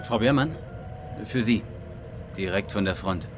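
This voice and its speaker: An actor playing a businesslike junior police officer, clipped and matter-of-fact, in a radio crime drama. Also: male voice, fairly low pitch, measured pace, a little hiss or hum, close to an everyday voice. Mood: focused, businesslike. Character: businesslike male police technician, an obedient subordinate.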